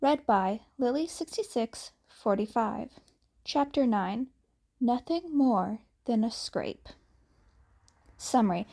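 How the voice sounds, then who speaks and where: Female story reader, higher-pitched, unhurried delivery. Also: vocal fry, warm tone. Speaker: fan fiction reader. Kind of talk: scripted story narration.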